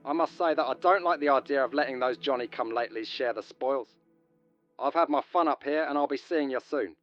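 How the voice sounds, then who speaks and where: A man playing a loud, imperious expedition leader barking orders in an audio drama. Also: mid-range voice, brisk, natural voice. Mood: blustering. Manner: loud, brash, commanding. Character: blustering, imperious male expedition leader on radio. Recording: dull radio transmission over music.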